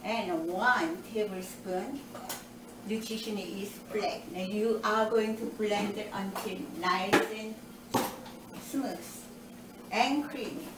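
An older woman with as her talks slowly and cheerfully through a recipe in her home kitchen. She is caught by a camera set a little way off, so her voice carries a roomy, distant ring with steady household noise behind it. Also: medium pitch. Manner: cheerful, explains step by step with nutrition asides. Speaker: cooking show host. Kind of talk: home cooking demonstration. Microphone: far camera.

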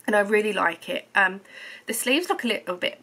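Home vlogger, thirties, bright, chatty, slightly coldy voice. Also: female voice, mid-range voice, lively intonation, fairly quick. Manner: chatty, rambling, lots of ums and restarts. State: slightly hoarse from a cold. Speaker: knitting vlogger. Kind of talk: casual chat to camera. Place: living room at home.

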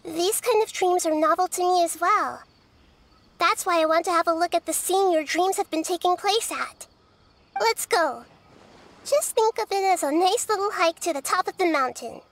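A woman voicing a calm, wise young girl goddess in a game, speaking softly and thoughtfully, over game music. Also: extremely high pitch. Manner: soft, measured, thoughtful. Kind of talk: game voice acting. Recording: game soundtrack music behind.

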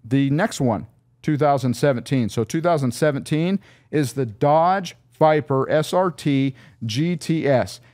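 Rambling male car-show host at a boom mic. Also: unhurried, a little mellow. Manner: loose and rambling, with restarts. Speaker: podcast host. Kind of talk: casual car show chat. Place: studio set in a car showroom. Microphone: studio mic on a boom arm.

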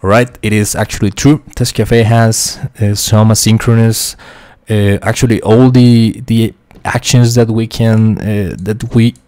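Low, flat-voiced man with a Costa Rican accent. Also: unhurried, no room sound. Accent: Costa Rican Spanish. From Costa Rica. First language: Spanish. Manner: hesitant, many fillers and restarts, quietly talks to himself. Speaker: QA engineer teaching a software tutorial. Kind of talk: screen-share tutorial explanation.

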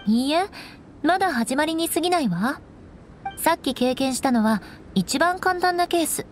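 A woman's voice: sits high, lively intonation, moderate pace, slight background noise. A young woman acting a proud, composed noblewoman knight in an anime game, strict but caring, with elegant, instructing delivery. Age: young adult. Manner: poised, elegant, haughty, instructing.

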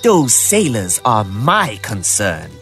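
Haughty admiral, wildly swooping voice. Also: male voice, on the low side, wildly swooping pitch, slow, heavily acted. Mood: imperious, angry. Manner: imperious, sneering. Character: haughty, venomous Chinese admiral, royal, contemptuous villain. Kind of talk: theatrical game cutscene acting. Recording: game audio with loud music behind.

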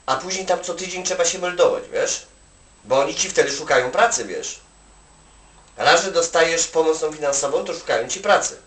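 A man from Poland with a fairly high voice talks casually, as if on a phone call. He rambles colloquially, adding little "you know" tags as he goes. The sound is very echoey and he is some distance from the microphone. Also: brisk, fairly bright tone. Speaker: Polish migrant worker advising an acquaintance. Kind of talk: casual one-sided phone chat. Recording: echoey room, distant from the mic.

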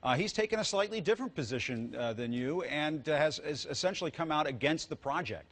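A man's voice: fairly high pitch, expressive, a little fast, fairly bright tone. An American man in his forties, a news anchor putting questions in a broadcast interview. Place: TV news studio.

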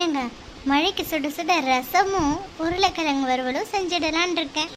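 Brief: woman as a stern, threatening mom, very high voice, noisy recording. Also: normal speed. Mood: chatty. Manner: scolding, mock-threatening. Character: strict, threatening mother of the house. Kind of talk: family comedy skit. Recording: noisy background.

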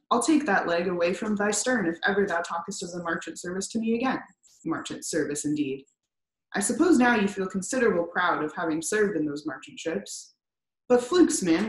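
A woman voicing a gruff, suspicious old male sea captain who blusters and teases in old-fashioned speech. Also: mid-range voice, reverberant, natural voice, poor audio. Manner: blustering, teasing, archaic speech.

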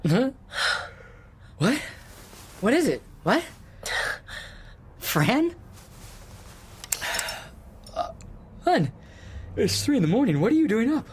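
A jittery husband in an audio drama. His voice is husky and rough at the edges, jumping around in pitch as he stammers and curses, half asleep and alarmed. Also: very animated, slow, pauses often, full character voice. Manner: flustered, stammering, cursing. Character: bewildered middle-aged husband woken at night. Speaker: husband Jim.

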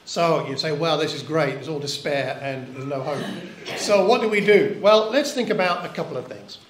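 A man's voice: a little high, moderate pace. An older man preaching a sermon in church, earnest and reflective, often asking rhetorical questions. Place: church hall. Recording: echoey church recording.

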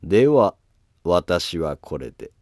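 A man's voice: low voice, big pitch swings, slow pace, darkish tone, no room sound, clean studio sound, heavily acted. A man voicing a sly scheming court nobleman in a historical cartoon drama, with mock-courteous, needling politeness. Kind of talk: Japanese historical animated drama acting.

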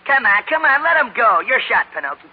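A man's voice: very high voice, sing-song, fast talker, slightly rough, full character voice. An actor playing a cocky, tough-talking boy with slangy wise-guy talk, in 1930s radio style. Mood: cocky, sneering. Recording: muffled 1930s radio broadcast.

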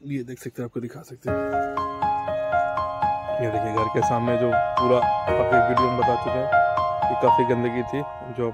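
Young male vlogger, chatty tour-guide manner, phone-mic sound, loud music bed. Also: mid-range voice, little pitch movement, normal speed, long pauses. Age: young adult. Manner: chatty, showing viewers around home. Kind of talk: casual vlog narration. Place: rooftop and rooms of a house. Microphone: handheld phone camera. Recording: vlog with loud music underneath. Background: loud background music.

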